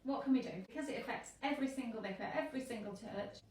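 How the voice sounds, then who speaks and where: A woman vicar hosting an interview, chatty and lively, quick to laugh. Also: on the high side, echoey room, distant mic, a little hiss or hum. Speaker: vicar and host.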